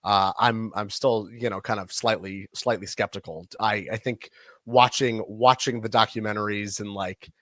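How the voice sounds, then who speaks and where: A man's voice: on the low side, steady tone, normal speed, a bit bright, no echo. Hedging man co-host, dry close sound. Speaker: podcast co-host. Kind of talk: casual news chat.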